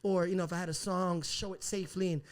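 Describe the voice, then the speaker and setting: Man, high voice, fast talker, podcast guest, animated storyteller. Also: clear, resonant tone. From Puerto Rican roots. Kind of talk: casual podcast conversation.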